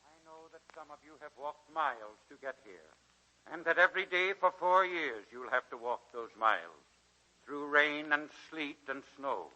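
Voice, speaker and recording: An actor playing a dignified, kindly college president with a measured, folksy oratorical manner in a 1941 radio drama. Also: male voice, a little high, lively intonation, bright, forceful tone, low-quality recording. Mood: solemn, rousing. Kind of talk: 1940s radio drama acting. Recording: 1941 radio broadcast recording.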